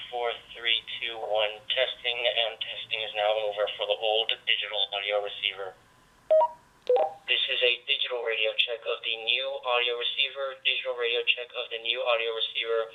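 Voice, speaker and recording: A man speaks through a two-way radio in a dull, lo-fi transmission. His delivery is flat and even, at a middling pitch. He gives repetitive test announcements. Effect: transmitted over two-way radio. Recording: muffled lo-fi walkie-talkie transmission.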